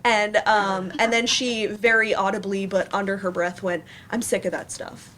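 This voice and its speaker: A woman with a medium-pitched voice speaks as a theater panelist. She tells stories informally and acts out quoted remarks, with clear room noise around her. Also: flat delivery, normal speed, a bit bright. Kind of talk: informal personal storytelling. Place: indoor library event room.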